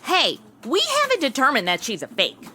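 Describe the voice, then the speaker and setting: A woman's voice: high-pitched, wildly swooping pitch, moderate pace, noisy background. An actress playing a tipsy, flippant, blunt woman in a comedy audio drama. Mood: tipsy, sardonic.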